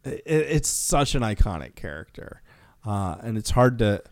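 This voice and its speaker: A man with a fairly low voice, recorded very dry with no room sound. He talks informally as a podcast co-host, in a loose, joking way. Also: very animated, measured pace, no echo. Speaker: co-host of a comics news podcast. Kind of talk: casual chat.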